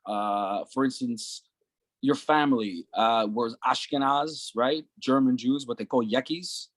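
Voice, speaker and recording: A man's voice: medium pitch, measured pace, no room sound. Loose, chatty male interviewer. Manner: loose, filler-heavy questioning. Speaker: interviewer and host. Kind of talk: podcast interview questions.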